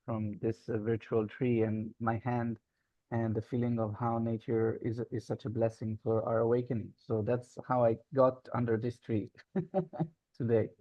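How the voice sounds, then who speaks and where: A man's voice: mid-range voice, fairly even intonation, dry recording, highs cut off. Reflective man. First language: Hebrew. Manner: reflective, searching for words with frequent pauses. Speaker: guest, social arts facilitator and founder. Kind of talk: casual interview conversation.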